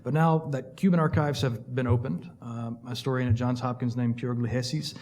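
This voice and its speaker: A man's voice: mid-range voice, steady tone, a little mellow. A man on a discussion panel explaining history in a lecturing way, with frequent fillers. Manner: fast, lecturing, filler-heavy. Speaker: panelist, Cuba expert.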